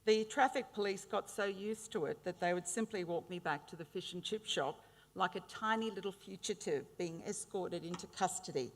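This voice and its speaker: Middle-aged Australian woman in a hall. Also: on the low side. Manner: warm humorous anecdotes, measured delivery. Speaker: graduation ceremony speaker. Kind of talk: formal ceremonial speech. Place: large indoor graduation hall. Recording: reverberant ceremony hall.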